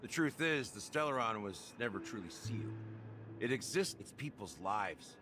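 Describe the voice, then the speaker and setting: A man's voice: lowish voice, very animated, moderate pace, background noise. An actor playing a world-weary, grave man recounting a regretful past in a video game.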